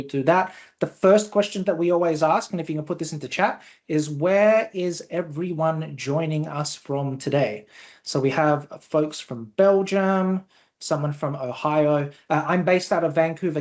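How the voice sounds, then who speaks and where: A man's voice: on the high side, normal speed, darkish tone. A man with a mixed Australian and Canadian accent, hosting a live webinar in a chatty, upbeat way with frequent fillers. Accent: Australian-Canadian mix. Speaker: webinar host, company founder.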